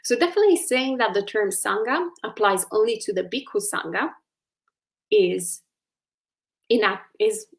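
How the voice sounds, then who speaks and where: Woman, Italian accent, Buddhist nun, gravelly edge, middle pitch, measured teaching talk, frequent ums. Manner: thoughtful, with many ums and uhs and restarts. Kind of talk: explanatory dharma talk.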